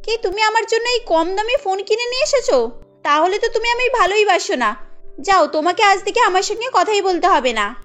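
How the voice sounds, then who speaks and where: Cartoon girlfriend acted by a woman narrator: haughty young lady, piercingly high, highly animated. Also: fairly quick, soft background music. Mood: sulky. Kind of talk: cartoon story acting.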